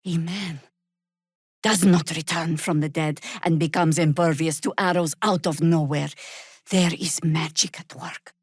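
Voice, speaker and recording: A middle-aged woman playing a seasoned, wry druid warrior in a fantasy video game, speaking in a measured, dry, formal way. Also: deep voice, breathy edge, no echo, heavily acted. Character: seasoned, wry female druid warrior, weary but resolute.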